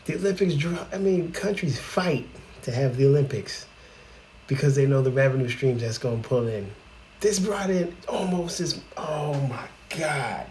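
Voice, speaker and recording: A man's voice: mid-range voice, normal speed, a little mellow, a little hiss or hum. A man, hosting a casual reaction video, chatty and laughing, often exclaiming in disbelief. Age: 40s.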